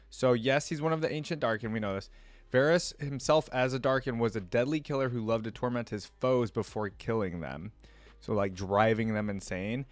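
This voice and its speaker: A man hosting a reaction video, reading aloud and pausing to comment and recap casually. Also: mid-range voice, no room sound. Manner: pauses to react and recap in plain words.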